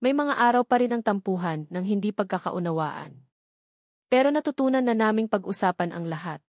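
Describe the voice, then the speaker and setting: Female storyteller; gentle, formal, heartfelt; dry close read. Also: medium pitch, dry recording. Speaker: narrator and author. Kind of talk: scripted story narration.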